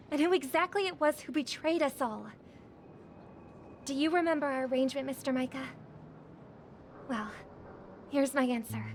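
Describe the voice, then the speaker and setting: A woman playing an earnest, graceful young singer in a video game, speaking in a measured, formal and gentle way. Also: high voice, a little hiss or hum, close to an everyday voice. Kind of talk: scripted video game voice acting. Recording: music behind the voice.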